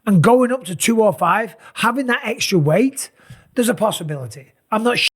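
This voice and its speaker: A middle-aged man with a raspy, sore voice, tired and talking in an animated, casual way as he breaks down fight matchups. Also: sits high, normal speed. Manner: animated, emphatic, rambling asides. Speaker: MMA analyst and channel host. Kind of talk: casual fight commentary to camera.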